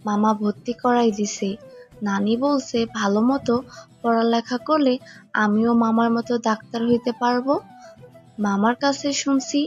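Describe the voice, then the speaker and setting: A woman's voice: fairly high pitch, moderate pace, lo-fi sound, faint music behind, natural voice. A woman voicing a chatty, innocent little schoolgirl in an audio story. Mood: eager, friendly.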